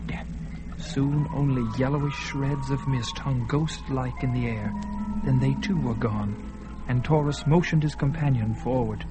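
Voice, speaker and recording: A man narrates an old-time fantasy radio play in a middle-pitched voice, building suspense line by line. Loud music runs under his words. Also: steady tone, a little slow. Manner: tense, building suspense with hushed and rising passages. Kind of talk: dramatic scripted radio narration.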